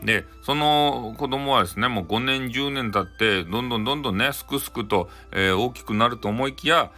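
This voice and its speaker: Chatty guy w/ hakata accent telling a funny folktale, talks fast, bright voice, light music behind. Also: medium pitch. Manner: chatty, playful. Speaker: storyteller. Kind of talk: casual improvised comic retelling of a bedtime folktale.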